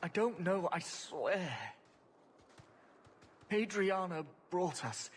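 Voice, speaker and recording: An actor playing a terrified captive henchman in a video game, pleading in halting bursts. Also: high-pitched, a little hiss or hum. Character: terrified, pleading male slave-hunter captive.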